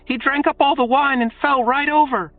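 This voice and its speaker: A man voicing a quick-witted, bold young woman in a storybook reading, in a high shouting falsetto. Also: fairly even intonation, dry recording. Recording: tinny phone-like audio, faint music.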